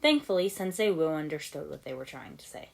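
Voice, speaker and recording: A woman's voice: sits low, lively intonation, fairly quick, vocal fry. A woman narrating a scripted story as an amateur audiobook reader.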